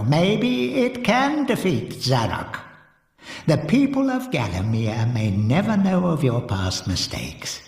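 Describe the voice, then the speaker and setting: A solemn ghostly hero guide. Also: male voice, fairly high pitch, darkish tone, breathy edge, heavily acted. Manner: solemn, ceremonial. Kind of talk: video game cutscene acting.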